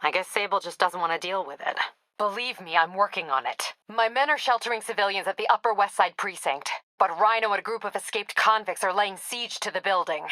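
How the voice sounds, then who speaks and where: A woman playing a tough, wry police captain in a video game, brisk, clipped and dryly sardonic. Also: mid-range voice, pushed and bright, no room sound, natural voice, poor audio. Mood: businesslike, dry.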